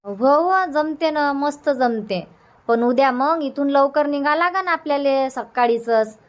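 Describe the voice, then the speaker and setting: A woman plays a village mother from a cartoon comedy, with a fairly high voice and a Varhadi Marathi accent. She chats in a homely, practical way, repeating herself as she agrees and organises. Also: measured pace. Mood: agreeable, planning. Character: chatty, practical village mother, middle-aged or older, organising an outing.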